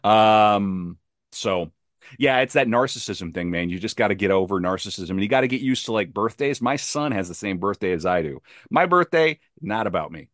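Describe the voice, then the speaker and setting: A man's voice: fairly low pitch, expressive, moderate pace, fairly bright tone, no room sound, clean studio sound. A man speaking as a podcast guest in casual, reflective conversation, earnest and discursive, repeating words for emphasis.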